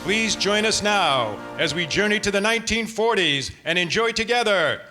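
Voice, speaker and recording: Male actor as grand, nostalgic golden-age radio host, slightly high voice, very animated, music behind. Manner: theatrical, deliberate, repeats words for effect. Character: showmanlike 1940s radio announcer, grandly nostalgic. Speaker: host of a staged radio show. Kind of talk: 1940s radio host acting, scripted narration.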